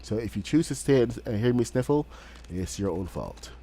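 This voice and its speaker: A man's voice: mid-range voice, animated, moderate pace, warm tone, husky. Rambling male streamer with a cold. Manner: rambling, with frequent fillers and restarts. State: has a cold, sniffling. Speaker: game streamer. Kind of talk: casual live-stream commentary while building.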